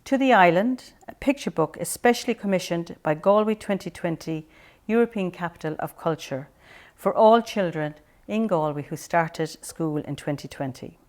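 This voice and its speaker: A woman's voice: lowish voice, expressive, normal speed, a little mellow. A woman presenting an event, reading a formal, scripted introduction. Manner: formal, read-aloud delivery.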